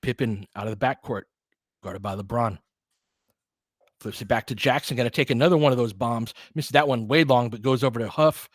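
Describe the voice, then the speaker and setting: A man's voice: mid-range voice, a little fast, no room sound, studio quality. A man giving informal, candid basketball play-by-play and opinionated commentary as the host of a fan stream.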